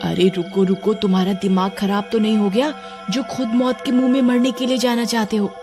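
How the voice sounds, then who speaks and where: A woman's voice: mid-range voice, flat delivery, fairly quick, over music, natural voice. A woman voicing a timid, fretful village wife who scolds her husband in a dramatic cartoon manner. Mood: alarmed. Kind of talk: Hindi cartoon character acting.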